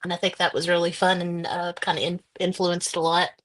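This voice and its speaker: A woman author being interviewed, giving thoughtful, halting answers with many pauses. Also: fairly low pitch, flat delivery, a bit bright. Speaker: guest author.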